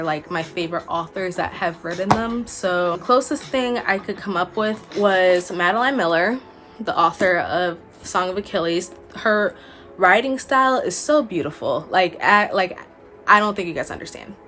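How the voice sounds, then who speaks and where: A woman's voice: on the low side, normal speed. A woman in her twenties chatting informally and emphatically to camera like a book vlogger. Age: 20s. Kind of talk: casual chat to camera. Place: small furnished room at home, indoors. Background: background music.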